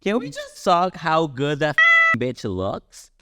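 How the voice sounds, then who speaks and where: A man's voice: a little high, wildly swooping pitch, slow pace, heavy background noise. A man with a Swiss accent, co-hosting a casual chat that reviews a show, telling stories and talking straight to viewers. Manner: chatty, storytelling, addresses viewers as you guys. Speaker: drag queen podcast co-host.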